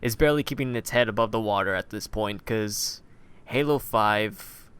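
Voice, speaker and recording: A man hosting a casual podcast, informal and a little halting as he steers the chat. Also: lowish voice, fairly bright tone, clear, resonant tone. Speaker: podcast host.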